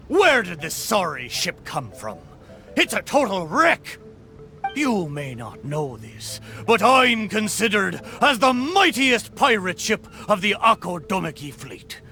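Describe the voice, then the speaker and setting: Boastful old pirate ship spirit, video game character, high voice, unhurried pace, hearty swagger. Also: male voice, sharp tone, exaggerated voice. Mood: indignant, boastful. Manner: hearty, bragging, swaggering pirate talk with "arr".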